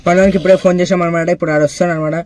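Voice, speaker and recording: A man's voice: high-pitched, level tone, rapid-fire, music in the background. A young man with a Telangana Telugu accent chatting casually and bossing a friend around in slangy speech, as host of a prank video. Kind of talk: casual chat, coaching a friend during a prank call.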